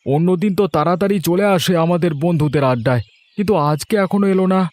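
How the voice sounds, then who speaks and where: Higher-voiced snooty village trader, played by a man. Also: fast, a little mellow, no room sound, lightly acted. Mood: chatty. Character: status-conscious, chatty village trader, male friend in a gossip circle. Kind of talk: Bengali cartoon folk-tale dubbing.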